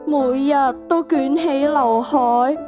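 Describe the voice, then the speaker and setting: High slow female voice, Hong Kong Cantonese, lovesick and eager to please, teenage student acting in a radio drama. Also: music in the background. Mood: plaintive. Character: insecure, lovesick, eager-to-please young woman.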